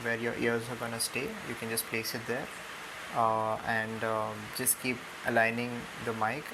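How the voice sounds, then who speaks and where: A young Indian-accented man talks through a product in a casual, hesitant way, with lots of ums. His delivery is very even, with a creaky, fried edge to the voice. The recording is homemade, with clear steady hiss behind him. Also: mid-range voice, moderate pace. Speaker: product reviewer. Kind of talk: casual unboxing and tutorial narration. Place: indoors on a room floor.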